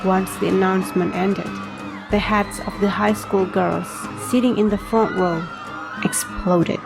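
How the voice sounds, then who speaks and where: A woman with a medium-pitched voice delivers scripted first-person narration for an audio drama. Her telling is dramatic. Music plays prominently behind her. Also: fairly even intonation, a little slow, gravelly, crowd noise.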